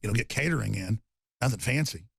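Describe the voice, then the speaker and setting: A man hosting a talk radio show, raspy and torn-up in tone, rambling emphatically with loud bursts and low mutters. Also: animated, quick pace, slight background noise. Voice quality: raspy, gravelly voice. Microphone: studio microphone.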